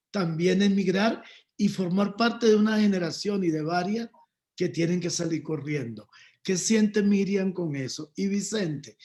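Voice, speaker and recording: A man moderating a panel discussion, posing reflective questions in a halting way, repeating words as he builds them. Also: high voice, darkish tone.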